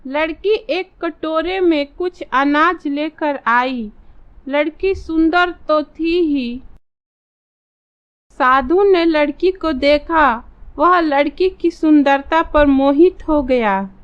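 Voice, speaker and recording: A woman's voice: high-pitched, slow, lo-fi sound. A woman narrating a scripted children's story as a storyteller.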